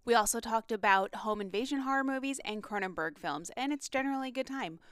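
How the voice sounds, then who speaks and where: A woman hosts a podcast and talks briskly with her guest. Her voice is close and dry, with no room sound around it. She keeps her questions upbeat and friendly. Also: fairly high pitch, a bit bright, smooth, no echo. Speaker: podcast host. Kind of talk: casual podcast interview.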